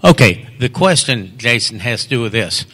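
A man's voice: mid-range voice, very animated, normal speed, fairly bright tone. A man in the audience of a meeting, asking a long, chatty question into a handheld mic.

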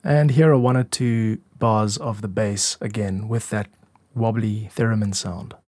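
A man's voice: fairly low pitch, fairly even intonation, a little slow, a little mellow, no room sound, studio quality. A man giving an informal, instructive tutorial as a music producer and podcast host. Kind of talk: explanatory tutorial narration.

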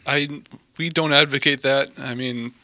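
An American man speaks in a flat, fairly unvarying line with a bright, firm tone and a dry, close sound. He explains things informally and matter-of-factly, like a professional answering interview questions. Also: medium pitch, unhurried, no echo. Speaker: estate planning lawyer, interview guest. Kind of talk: conversational expert explanation.

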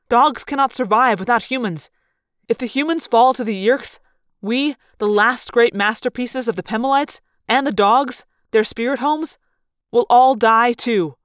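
A woman voicing a gentle ancient android man. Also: mid-range voice, no echo, studio quality, lightly acted. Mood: impassioned. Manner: soft, measured, earnest.

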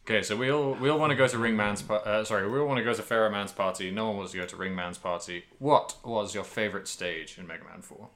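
A man with a very low-pitched but bright, crisp-edged voice. He chats as a podcast host about games, loosely and at length, wandering through his thoughts and swearing now and then. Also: quick pace, few pauses. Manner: rambling, animated riffs peppered with swearing. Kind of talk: casual video game chat.

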